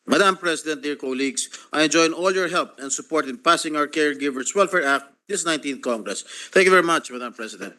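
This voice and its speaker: An older man with a Filipino accent delivering a formal floor speech as a senator, reading his points in measured, numbered order and switching into Tagalog at times. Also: on the high side, lively intonation, sharp tone. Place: legislative chamber.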